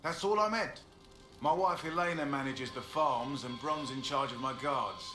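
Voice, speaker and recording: An actor playing a gruff older horsemaster in a fantasy game, blunt, plain-spoken and folksy. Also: male voice, medium pitch, normal speed, pushed and bright, natural voice. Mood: blunt, conciliatory. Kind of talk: video game dialogue acting. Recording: echoey, with music behind.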